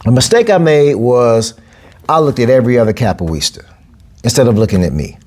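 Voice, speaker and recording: A man, talking through a casual solo podcast. He sounds like a coach laying things out plainly, repeating phrases and leaping up in pitch to stress a point. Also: expressive, brisk, darkish tone. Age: 40s. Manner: emphatic, repeats phrases, big pitch jumps for emphasis. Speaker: podcast host, boxing and capoeira coach.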